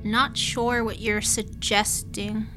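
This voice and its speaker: A woman playing a tough, guarded spaceship captain with a soft side, blunt and gruff in manner, in a science fiction audio drama. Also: medium pitch, flat delivery, slow pace, soft background music. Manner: blunt, gruff, clipped commands.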